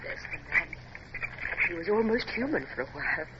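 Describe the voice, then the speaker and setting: A woman's voice: fairly low pitch, sing-song, moderate pace. An actress with an upper-class British accent playing a gracious, self-assured noblewoman in a radio drama, poised and formal. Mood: wry. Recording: muffled, noisy old radio drama recording.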